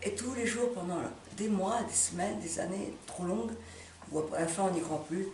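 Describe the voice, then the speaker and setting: A woman's voice: mid-range voice, warm tone. Woman speaking from afar in a roomy space. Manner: halting, emphatic, repeating words and phrases. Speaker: speaker giving a personal appeal. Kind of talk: impromptu impassioned monologue to camera. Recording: roomy, distant mic with background noise.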